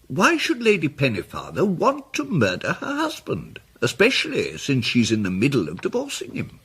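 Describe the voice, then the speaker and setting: An actor playing a mild, deferential English gentleman sleuth in a radio drama, polite and tentative. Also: on the high side, wildly swooping pitch, moderate pace, breathy edge, exaggerated voice. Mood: politely inquiring.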